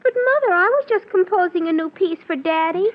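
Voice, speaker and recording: A woman's voice: extremely high pitch, expressive, a little fast. An actress playing a sly, tearful young schoolgirl in a 1940s radio drama, pleading and whiny. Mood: meek, defensive. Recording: muffled 1940s radio recording.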